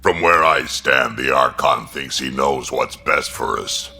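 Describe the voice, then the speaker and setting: Grizzled alien warrior, extremely low pitch, gravel throat, blunt, grim, video game dialogue. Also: male voice, a little slow, pushed and bright, slight background noise, full character voice. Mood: grim, ruthless. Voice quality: very deep, gravelly. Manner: blunt, clipped. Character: gruff, grim, battle-hardened alien male warrior, ruthless.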